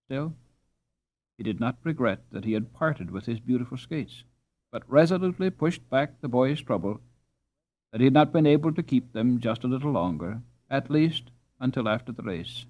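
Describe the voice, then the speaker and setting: Guy narrating an old storybook, measured and expressive, middle-pitched voice. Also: dull audio. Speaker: audiobook narrator.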